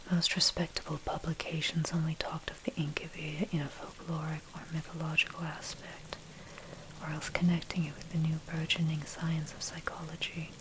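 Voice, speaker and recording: A woman narrator reading a scripted history essay as ASMR in a breathy, unvoiced whisper, measured and deliberate. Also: low-pitched, monotone. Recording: noisy track with rain and thunder. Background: rain and thunder ambience.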